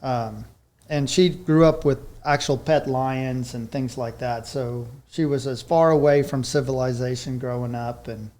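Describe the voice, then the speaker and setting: A man's voice: mid-range voice, fairly even intonation, measured pace, darkish tone. A man in his thirties from South Africa, with a South African accent and Afrikaans as his first language. He is a podcast guest telling his life story in an easygoing, rambling way. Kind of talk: casual interview storytelling.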